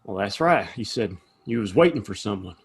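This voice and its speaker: A man playing a friendly, protective frontier saloon keeper in a western audio play, husky and folksy. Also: on the low side, animated, fairly quick, full character voice. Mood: hearty, helpful.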